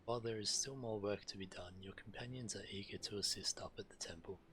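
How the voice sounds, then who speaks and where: A man reading quest dialogue aloud in a measured way while streaming a game. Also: fairly low pitch, fairly bright tone, slight background noise.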